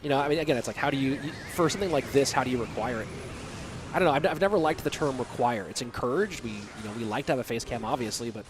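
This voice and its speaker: A man's voice: medium pitch, rapid-fire. A young man with a stuffy nose from a cold, casually casting a match and chatting with viewers between plays. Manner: relaxed, chatty, answers chat questions between plays. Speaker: esports commentator. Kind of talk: solo play-by-play casting and reading chat.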